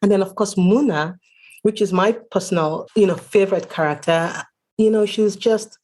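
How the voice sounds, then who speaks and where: A woman's voice: medium pitch, moderate pace, dark tone. A woman from Nigeria speaking as an author guest in a conversational interview, earnest and explanatory.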